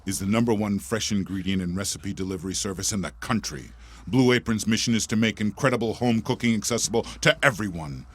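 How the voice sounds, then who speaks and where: A man's voice: on the low side, fast talker, a bit bright. A brash, sarcastic man co-hosting a podcast, doing irreverent ad banter. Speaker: podcast co-host reading an ad.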